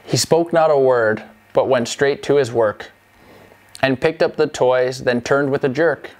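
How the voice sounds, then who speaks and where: A man's voice: mid-range voice, measured pace. Man reading rhyming Christmas verse. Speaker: reader of a Christmas poem parody. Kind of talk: scripted verse narration.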